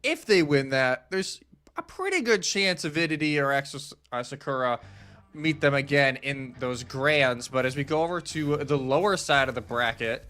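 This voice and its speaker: A man's voice: medium pitch, animated, moderate pace, pushed and bright. A man doing conversational live esports analysis as a commentator, explaining things in a casual way. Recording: music behind the voice. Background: music.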